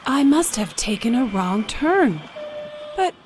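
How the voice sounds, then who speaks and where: A woman voicing a gentle, nostalgic old Japanese grandfather, a storybook street storyteller who murmurs hesitantly to himself. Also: on the high side, very animated, takes it slowly, noisy background, voice pushed up. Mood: bewildered, grumbling. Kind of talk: storybook character voice for children.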